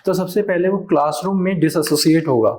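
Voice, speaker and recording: A man who is a teacher, talking as a podcast guest in an animated way, acting out other people's voices and switching into English phrases. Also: on the high side, brisk, a little hiss or hum. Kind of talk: conversational podcast interview.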